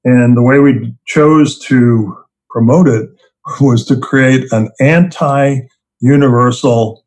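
A man's voice: mid-range voice, takes it slowly, a little mellow, rough recording. A middle-aged man from New Jersey, an interview guest who tells rambling, self-deprecating stories about his work and chuckles often. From Princeton, New Jersey, US. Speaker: interview guest, theme park event writer and director. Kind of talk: casual storytelling interview.

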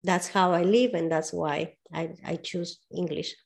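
A woman with a Spanish accent speaks English as a second language in a relaxed interview. She talks about her work as a movement coach in an earnest, thoughtful way, often stopping to find the right word. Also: on the low side, a little slow, vocal fry. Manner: searching for words, thoughtful, earnest. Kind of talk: casual interview talk.

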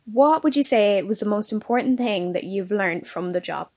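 A woman's voice: medium pitch, lively intonation, normal speed, dark tone. A woman hosting an interview series, reading out scripted questions in a friendly, plain way. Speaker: interview host.

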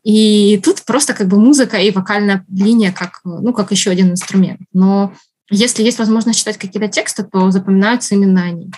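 A woman's voice: mid-range voice, fairly quick, a little mellow, breathy edge. A woman guest on a podcast, chatting casually and laughing often as she talks, with many restarts along the way. Russian is her first language. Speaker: podcast guest, writer and journalist.

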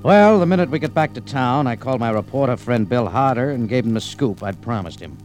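An actor playing a tough, wry adventurer in an old radio detective drama, with punchy hardboiled delivery. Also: male voice, mid-range voice, lively intonation, brisk, darkish tone, faint music behind, close to an everyday voice. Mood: grim narration. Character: tough, wry male adventurer narrating his exploits. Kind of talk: 1940s-50s radio detective drama acting. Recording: slightly noisy old radio recording.

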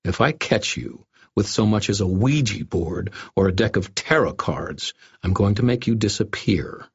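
Man as a low, quietly menacing investigator. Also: expressive, husky, lo-fi sound, exaggerated voice. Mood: stern warning. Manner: quiet, measured, threatening. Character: stern, menacing male investigator wizard, wry and controlled. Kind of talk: audiobook first-person dialogue.

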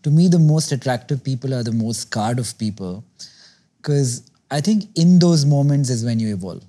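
A man's voice: medium pitch, lively intonation, moderate pace, dark tone. A man hosting a podcast, asking curious, probing questions in casual conversation. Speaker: podcast host. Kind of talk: conversational interviewing.

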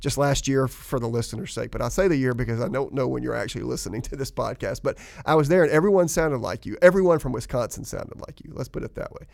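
A man's voice: medium pitch, fast talker, gravelly, few pauses, a little mellow, no echo, clean studio sound. A man hosting a podcast, friendly and chatty, joking with his co-host and thanking guests. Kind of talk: casual podcast chat.

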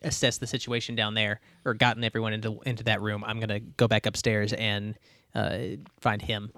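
A man playing a tabletop role-playing game, describing his moves in informal, halting talk. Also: lowish voice, flat delivery, bright, forceful tone, dry recording. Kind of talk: casual improvised role-play chat.

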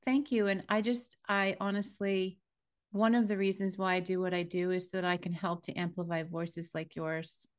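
Woman, podcast host, lowish voice, affirming, encouraging. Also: flat delivery, moderate pace. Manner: warm, affirming, frequent murmured assent. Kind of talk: casual interview.

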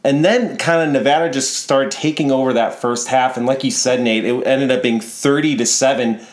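A man whose first language is Polish co-hosting a casual sports podcast, telling things in an easygoing, animated way. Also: mid-range voice, moderate pace. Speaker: podcast co-host. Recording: echoey room.

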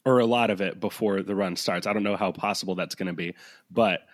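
A man's voice: sits low, steady tone, brisk. A young man co-hosting a casual podcast, playful and jokey, still sounding sleepy in the morning. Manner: playful, jokey, does a mock radio announcer bit. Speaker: podcast co-host.

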